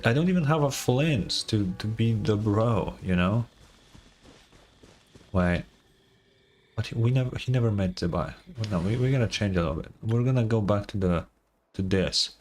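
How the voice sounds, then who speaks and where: A man doing casual gaming livestream commentary in a sarcastic, mock-exasperated way, swearing freely. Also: on the low side. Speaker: streamer.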